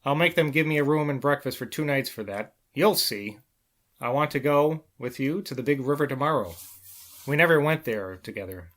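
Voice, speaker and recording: A sly, penniless young rogue from a storybook voiced by a male reader, blunt and insistent. He talks like a conspirator and a romantic sponger, in a mid-pitched voice. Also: lively intonation, moderate pace, a bit bright, natural voice. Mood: defiant, tender.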